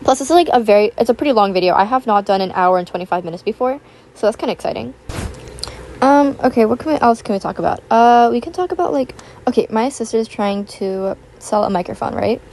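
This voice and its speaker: A young woman, raspy from just waking up, rambling chattily over a voiceover and recorded at home on a built-in tablet mic. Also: a little high, expressive, quick pace, darkish tone, slight background noise. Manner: rambling, filler-heavy, chatty. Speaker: YouTuber. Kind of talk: casual chat voiceover. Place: home, with household noise. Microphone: built-in tablet microphone.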